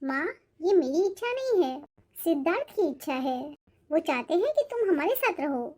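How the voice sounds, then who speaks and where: A loving young cartoon daughter performed by a woman with a very high voice. She is affectionate and insistent. She coaxes gently, in a pleading way. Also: very animated, moderate pace. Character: loving, insistent grown daughter about to marry. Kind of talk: Hindi cartoon moral-story voice acting.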